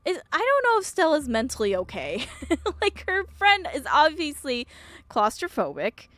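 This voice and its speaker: A woman's voice: high voice, huge pitch swings, normal speed, a bit bright, no room sound. A woman streamer playing a visual novel, reading it out and chatting casually with playful reactions. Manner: playful, reacts aloud to the story. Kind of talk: voicing game dialogue aloud with casual asides.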